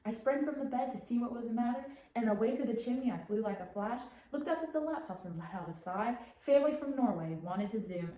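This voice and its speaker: A woman's voice: a little high, expressive, a little fast, few pauses, mellow, natural voice. A teenage woman playing a storybook narrator, reciting rhymed Christmas verse in a projected, declamatory way. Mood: storytelling. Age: teens, high school senior. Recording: echoey room, faint background noise.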